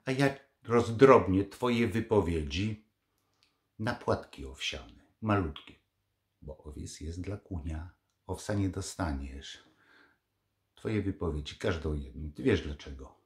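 Older man, low mocking vlog voice. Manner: sarcastic, mocking, scolding. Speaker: vlogger. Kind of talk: angry political commentary to camera. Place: living room at home.

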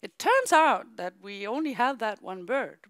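A woman's voice: a little high, measured pace, no echo, clean studio sound. A woman giving a keynote talk on a theater stage, telling a story into a handheld microphone and acting out voices in quotes. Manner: animated, acts out voices in quotes. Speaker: keynote speaker.

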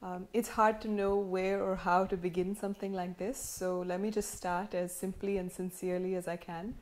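A woman in her thirties, heavily pregnant, delivering a sincere, measured acceptance speech with gentle humor, recorded at home in her living room. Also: on the low side, level tone. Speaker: prize winner giving acceptance speech. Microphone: camera in front, seated framing.

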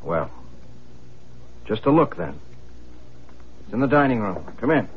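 A man's voice: medium pitch, sing-song, a little slow, husky, full character voice. A man playing a blunt, skeptical, easily flustered husband in a radio mystery, impatient and colloquial. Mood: wary. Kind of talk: radio mystery drama acting. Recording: dull old radio recording, faint noise.

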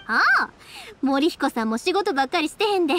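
An actress voicing a cheerful, outgoing young fireworks maker with a Kansai accent in a video game. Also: female voice, sits high, animated, brisk, fairly bright tone, faint music behind. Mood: friendly, upbeat. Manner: chatty, friendly, folksy.

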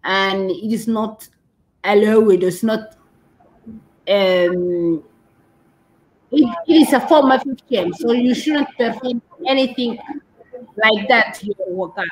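A woman in her thirties with a Somali accent, answering as an interview guest on a video call, haltingly repeating and rephrasing. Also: mid-range voice, low-quality recording. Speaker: guest doctor and women's rights activist. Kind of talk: interview answers on a live stream. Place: curtained room indoors, on a video call. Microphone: video call device mic.

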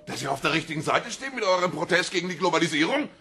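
Fed-up police inspector, raspy and sharp, swingy pitch, crime radio play. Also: male voice, sing-song, racing, soft background music, exaggerated voice. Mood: exasperated. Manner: gruff, exasperated. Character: irascible, impatient male police inspector. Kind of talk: radio crime drama acting.

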